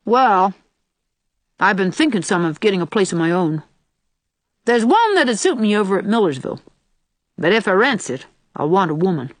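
A man's voice: sits high, sing-song, moderate pace, long pauses, no echo. A woman voicing a solemn, stubborn young farmhand with abrupt, folksy rustic speech in an audiobook. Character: lanky, solemn young country farmhand, blunt and stubborn suitor. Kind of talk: audiobook character voice.

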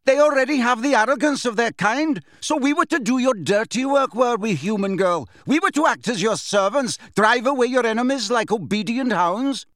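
A man's voice: high voice, big pitch swings, extremely slow, hardly any pauses, sharp tone, no room sound, clean studio sound, voice pushed up, full character voice. A man voicing a proud, haughty male grey centaur who speaks in formal, contemptuous bellows in an audiobook. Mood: bellowing outrage.

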